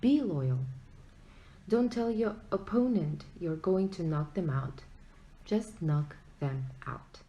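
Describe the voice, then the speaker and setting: A woman's voice: fairly low pitch, lively intonation, takes it slowly, mellow, muffled recording. A woman reading aloud from a self-help book in an emphatic, conversational way. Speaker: book reader at a school read-aloud event.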